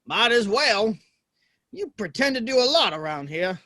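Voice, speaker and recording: An earnest American cowboy in a Western audio play, performed by a man. His voice is high and bright and moves around a lot. He is folksy and a bit hesitant, shy but stubborn. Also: very animated, moderate pace, dry recording, studio quality, exaggerated voice. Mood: defiant. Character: earnest, plain-spoken cowboy, shy yet defiant.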